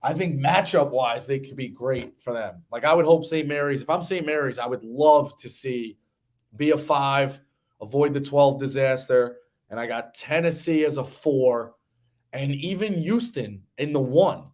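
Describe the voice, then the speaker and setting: A man's voice: mid-range voice, measured pace, darkish tone. A man in his thirties hosting a sports betting show, talking in a casual, rambling, conversational way. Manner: rambling, conversational, occasional swearing.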